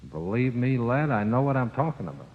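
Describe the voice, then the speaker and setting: Sinister, echoing ghost convict. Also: male voice, medium pitch, sing-song, fairly quick. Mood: coaxing, menacing. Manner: slangy, matter-of-fact, insinuating. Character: sinister, coaxing male ghost of an executed convict. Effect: long ghostly reverb. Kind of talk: 1940s radio drama acting. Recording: very muffled old radio, long reverb.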